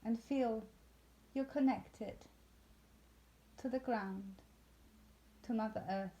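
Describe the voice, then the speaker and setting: A woman leading a guided yoga nidra meditation in a soothing, unhurried way with long pauses. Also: on the high side, warm tone, lo-fi sound.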